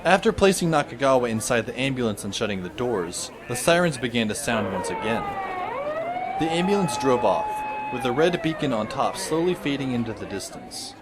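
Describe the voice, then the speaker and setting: A man playing a sardonic, world-weary high school boy who narrates an audio drama in a wry, dry manner. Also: big pitch swings, fairly quick, crowd noise, lightly acted. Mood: dry, musing. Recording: music behind the voice.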